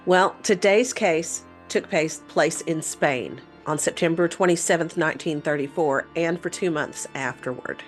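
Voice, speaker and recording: A woman's voice: deep voice, a little slow, hardly any pauses, faint music behind. A woman co-hosting a paranormal show, telling a story in a conversational way.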